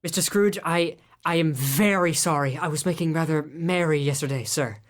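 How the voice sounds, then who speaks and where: A man's voice: on the high side, moderate pace, natural voice. A man playing a timid young clerk in an amateur radio drama, stammering and nervous.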